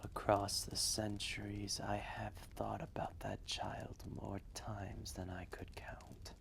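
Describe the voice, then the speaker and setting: Man voicing an ancient dragon, very gravelly and slow. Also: on the low side, level tone, whispered. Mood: reflective, solemn. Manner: hushed, breathy, measured, with long pauses. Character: ancient wise dragon, gentle, reflective, fatherly. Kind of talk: slow intimate storytelling roleplay, ASMR-like.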